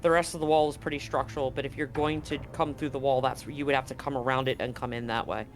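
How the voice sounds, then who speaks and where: A man's voice: mid-range voice, fairly even intonation, fast, soft background music. A man acting as game master, explaining rules and narrating the scene of a tabletop roleplaying game.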